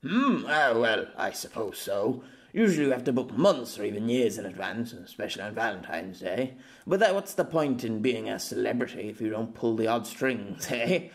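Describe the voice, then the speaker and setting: A man's voice: on the low side, lively intonation, moderate pace. A man voicing a bashful yet boastful, smitten man in an audiobook, hesitant and jovial. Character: bashful, boastful, smitten celebrity man in his thirties or older.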